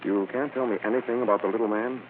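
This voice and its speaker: An actor playing a suave, unruffled amateur sleuth with a wry, brisk manner in an old-time radio drama. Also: male voice, lowish voice, steady tone, slight background noise, lightly acted. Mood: courteously probing. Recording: muffled, tinny old radio recording.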